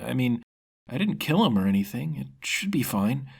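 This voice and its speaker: Casting: man to play trusting, dopey young male adventurer for a fantasy tale, easygoing, lightly husky, pitched up. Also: sing-song, normal speed, a little mellow, heavily acted. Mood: carefree. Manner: hesitant, easygoing. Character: cheerful, dim, trusting young male sword-loving wanderer.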